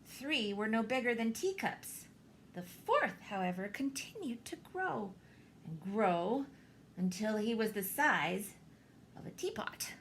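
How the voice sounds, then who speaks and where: A woman reading a children's picture book aloud, expressively, with theatrical pauses and deliberate emphasis. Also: medium pitch, sing-song, slight background noise. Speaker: storybook reader, teacher.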